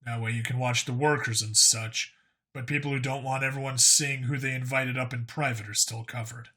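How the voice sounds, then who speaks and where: A man's voice: mid-range voice, steady tone, moderate pace, bright, forceful tone. A man playing a blunt, sweary human cop in a science fiction audiobook, casual and plainspoken, sometimes hesitant. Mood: questioning. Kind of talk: audiobook character acting.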